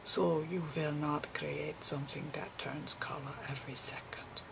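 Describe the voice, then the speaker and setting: A woman voicing an eccentric, digressive physicist professor in a whispery, halting ASMR roleplay lecture. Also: low-pitched, fairly even intonation, noisy background, full character voice. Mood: musing, pedantic. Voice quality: whispery, breathy. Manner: halting, pedantic, rambling.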